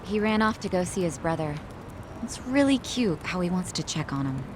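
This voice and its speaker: A woman's voice: on the low side, expressive, moderate pace, noisy background, natural voice. A woman acting a caring, no-nonsense young woman in a video game, frank and composed. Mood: fond, wistful. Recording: music behind the voice.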